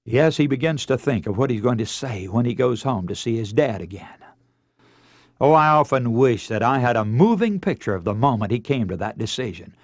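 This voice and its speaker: A man's voice: medium pitch, animated, moderate pace, dry recording, clean studio sound. An American man preaching a radio sermon as a dramatic, emotive story, with theatrical delivery and rhetorical questions.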